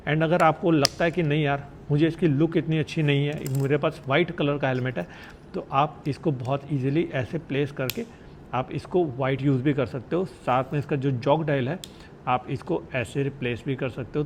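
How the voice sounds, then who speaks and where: A man with a slightly high voice talks casually to viewers as a gear reviewer. He is conversational and drops in English words as he explains. There is faint noise behind him. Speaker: motorcycle vlogger reviewing gear. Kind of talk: casual product review to camera.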